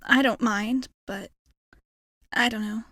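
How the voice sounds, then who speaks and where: A woman's voice: on the high side, fairly even intonation, takes it slowly, fairly bright tone, no echo, studio quality. A woman playing a shy, nervously hopeful young alien space traveler in an ASMR roleplay, rambling hesitantly and putting herself down. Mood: relieved, glad. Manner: hesitant, rambling, self-effacing. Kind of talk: ASMR roleplay, intimate character monologue.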